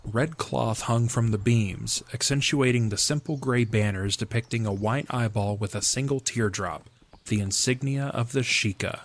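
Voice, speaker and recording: A man's voice: mid-range voice, normal speed. A man reading scripted story narration as the narrator of an audio drama.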